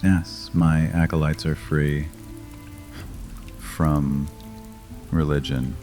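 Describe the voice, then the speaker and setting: Very deep-voiced, wry male vampire leader by an actor. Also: level tone, drawn-out words, a little mellow, soft background music, exaggerated voice. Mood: firm, composed. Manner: dry, sardonic banter. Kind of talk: dramatic audio fiction with natural banter.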